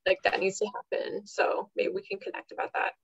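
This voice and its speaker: A woman's voice: mid-range voice, sing-song, a little fast, gravelly, breathy edge. A woman hosting a livestream interview for an advocacy group, affirming her guest and asking casual questions. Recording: dull lo-fi audio.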